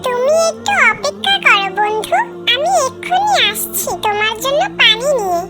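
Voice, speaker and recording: A performer voicing a kind, pious young boy in a children's cartoon, speaking gently, politely and earnestly. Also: extremely high pitch, sing-song, normal speed. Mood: friendly, eager. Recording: children's music behind the voice.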